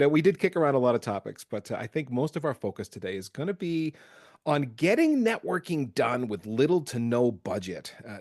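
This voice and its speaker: A man hosting a tech podcast, talking with a lively, swooping voice and laughing easily as he chats. His sound is dry and close, with no room around it. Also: medium pitch, no echo. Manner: animated, laughs often, swings between loud and soft. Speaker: podcast host. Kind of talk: casual interview chat.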